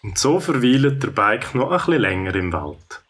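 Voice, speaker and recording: A man's voice: mid-range voice, very animated, normal speed, a bit bright. A man with a Swiss German accent narrating a scripted children's story.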